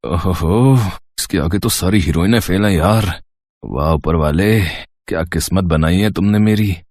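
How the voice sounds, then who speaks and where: A man's voice: deep voice, unhurried, dry recording, clean studio sound. A man playing a lecherous, boastful village tailor in an audio story, with an oily, leering manner. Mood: dreamy, lustful. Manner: oily, leering, exaggerated flattery. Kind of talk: Hindi audio story character acting.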